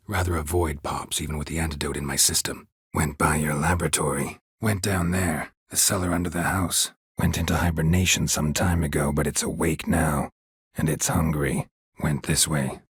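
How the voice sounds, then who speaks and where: An actor playing a gruff, weary, sardonic monster hunter in a video game, with a husky, gravelly low American voice and a terse, deadpan delivery. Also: male voice, moderate pace, no room sound, clean studio sound, heavily acted. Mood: dry, matter-of-fact.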